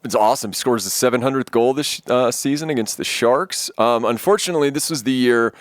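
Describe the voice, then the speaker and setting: A man's voice: medium pitch, fairly quick, no room sound. A man, co-hosting a casual sports podcast, reading out stats and cracking jokes. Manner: jokey, reads stats, slips into silly voices. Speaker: podcast co-host.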